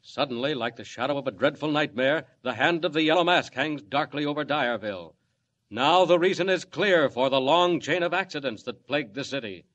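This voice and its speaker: Muffled, dramatic radio serial announcer. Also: male voice, fairly high pitch, expressive, a little fast, a bit bright. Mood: dramatic, grave. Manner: dramatic, declamatory. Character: dramatic male announcer narrating a superhero serial. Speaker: narrator. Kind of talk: 1940s radio serial narration. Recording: muffled old radio recording.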